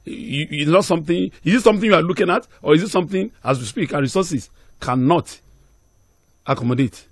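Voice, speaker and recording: Nigerian male radio host, middle-aged, slightly high voice, dry close-mic studio sound. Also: fairly quick, breathy edge, dry recording. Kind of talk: live news interview. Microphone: close studio microphone on boom.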